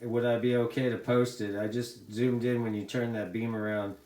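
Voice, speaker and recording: A man's voice: mid-range voice, little pitch movement, normal speed, darkish tone. A middle-aged American man chatting casually as a CB radio hobbyist, talkative and given to repeating his phrases. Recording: echoey room sound.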